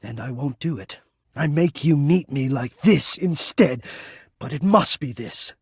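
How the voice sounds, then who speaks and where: A man's voice: medium pitch, lively intonation, a little slow, darkish tone, slightly rough, no echo, clean studio sound, heavily acted. An actor voicing a lovesick, anxious male spirit of the air vents in a video game, with intense, theatrical and rather formal delivery. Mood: despairing, self-pitying. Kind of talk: dramatic romantic video game voice acting.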